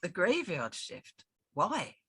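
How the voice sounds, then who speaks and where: A woman plays a bemused, quiet lad in his twenties in a drama reading. The voice is slightly raspy and very animated in pitch, in a dry space. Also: takes it slowly, no room sound, full character voice. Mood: bemused, protesting. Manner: hesitant, bemused. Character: quiet, fresh-faced young man, hesitant new night auditor. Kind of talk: script table-read acting.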